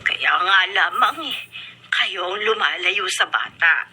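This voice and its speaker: Bright-voiced, deferential housemaid. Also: female voice, on the low side, animated, slow, husky, full character voice. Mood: concerned, reproachful. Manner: hesitant, apologetic, stammering. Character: deferential, concerned female household servant. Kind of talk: Filipino radio drama acting. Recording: faintly noisy radio recording.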